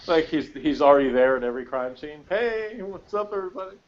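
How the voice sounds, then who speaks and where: A man co-hosting a casual review chat about TV, talking in a halting, rambling way with frequent self-interruptions. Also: fairly high pitch, lively intonation, dull audio. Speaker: podcast co-host.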